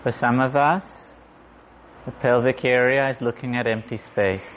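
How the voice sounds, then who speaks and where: A man guiding a meditation in a gentle, unhurried manner, leaving long pauses. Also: medium pitch, fairly even intonation. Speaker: meditation guide. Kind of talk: guided meditation. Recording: muffled audio with clear background noise.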